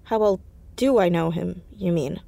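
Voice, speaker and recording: A nervy teen girl, earnest and stubborn, kinda halting, audio drama, dry close recording. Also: on the low side, gravelly, warm tone, dry recording. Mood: defensive. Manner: hesitant, halting, insistent. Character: anxious, earnest, stubborn teenage high school girl. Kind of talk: naturalistic audio drama acting.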